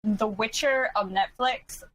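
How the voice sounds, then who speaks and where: A woman's voice: a little high, slow, a bit bright, slight background noise, low-quality recording. A woman chatting casually in a podcast group discussion. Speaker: podcast panelist.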